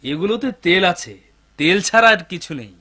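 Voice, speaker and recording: A man's voice: sits high, lively intonation, moderate pace, sharp tone, exaggerated voice. A man playing a scheming bandit chief who poses as a polite oil merchant in a dubbed cartoon. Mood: polite, ingratiating. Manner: courteous, ingratiating.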